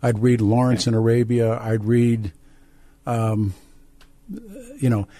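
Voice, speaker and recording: An American man hosting a call-in radio show, who talks discursively, halting and repeating words as he thinks aloud. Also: medium pitch, level tone, warm tone, dry recording. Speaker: radio talk show host. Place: radio studio. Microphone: close studio microphone.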